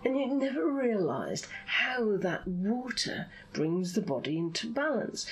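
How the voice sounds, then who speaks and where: Woman for hypnosis session; lively rise and fall, unhurried breathy phrasing that sinks into whispers. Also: lowish voice, low-quality recording. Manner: soothing, drawn-out phrasing, often drops into breathy whisper. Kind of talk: hypnosis session, guided visualization.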